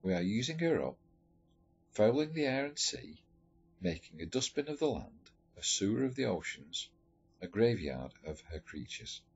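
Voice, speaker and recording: A man reading a letter story aloud in a measured, expressive storytelling manner. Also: on the low side, vocal fry, breathy edge. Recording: dull lo-fi recording with music behind. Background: background music.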